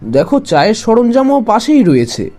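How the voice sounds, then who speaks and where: A man's voice: high-pitched, very animated, normal speed, dark tone, faint music behind, heavily acted. A man playing a detective's earnest, puzzled companion in an audio drama. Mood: puzzled, reasoning.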